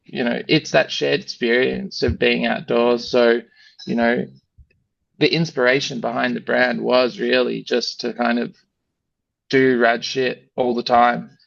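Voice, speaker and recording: An Australian man with a gravelly, creaky voice talks in a flat, even tone, loose and rambling, full of fillers. The sound is dry and a little muffled, as if close to a modest mic in a dead room. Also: medium pitch, unhurried, no room sound. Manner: relaxed, rambling, heavy with fillers like "you know" and "like". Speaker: brand founder, guest. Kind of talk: casual interview chat.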